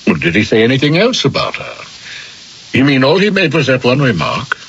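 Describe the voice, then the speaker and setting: Skeptical psychiatrist, swooping intonation. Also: male voice, mid-range voice, very animated, fairly bright tone. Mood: needling. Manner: pointed questioning, measured emphasis. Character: skeptical, probing male psychiatrist, patronizing but patient. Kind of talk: radio drama acting. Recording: dull, hissy old radio recording.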